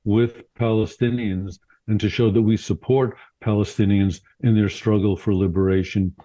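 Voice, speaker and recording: Mellow, lowish-voiced man. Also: little pitch movement, normal speed, poor audio. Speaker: rally participant being interviewed. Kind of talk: spoken interview statement.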